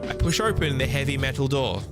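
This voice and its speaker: A man's voice: mid-range voice, expressive, a little fast. A man reading a visual novel aloud as a streamer, in dramatic narration. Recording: music under the voice. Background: prominent music.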